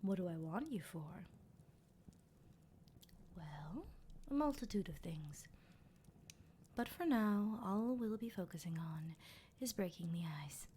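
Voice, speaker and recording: A woman playing a teasing, sardonic female vampire captor in an ASMR roleplay, speaking in an unhurried, breathy half-whisper. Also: on the low side, animated, dark tone, a little hiss or hum. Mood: teasing menace. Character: playful, menacing female vampire captor, decades old.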